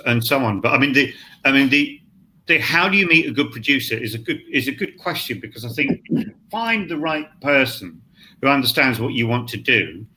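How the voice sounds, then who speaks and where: A man speaks on a video call as a panel guest, in a voice that is sharp and very bright. He rambles, restarts his phrases and is blunt about things. Faint background noise sits under him. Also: medium pitch, low-quality recording. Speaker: scriptwriter guest.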